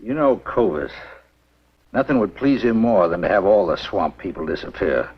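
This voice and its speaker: Husky, deep worried older doctor. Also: male voice, animated, moderate pace. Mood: grave, worried. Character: worried older small-town doctor, a father. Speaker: film actor. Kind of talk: 1950s B-movie acting. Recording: dull old 1950s film soundtrack.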